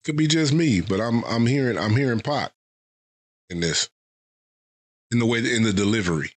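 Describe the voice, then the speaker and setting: A man's voice: mid-range voice, lively intonation, moderate pace. A man recovering from a head cold, giving loose, rambling album-review commentary with lots of fillers. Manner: loose, thinking aloud with many fillers. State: getting over a head cold. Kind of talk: casual reaction commentary.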